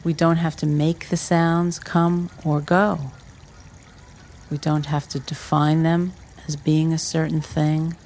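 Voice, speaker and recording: A meditation teacher giving guided instruction in measured, short phrases with pauses between them. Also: medium pitch, little pitch movement, darkish tone, a little hiss or hum. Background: trickling water ambience.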